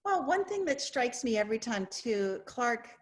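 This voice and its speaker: An expressive woman speaking reflectively as a professor hosting an online discussion over a video call. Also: a little high, normal speed. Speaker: host, English professor.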